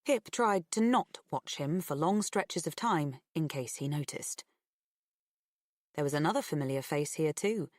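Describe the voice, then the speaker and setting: Lower-pitched woman narrating an audiobook. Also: dry recording, studio quality. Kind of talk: scripted fiction narration.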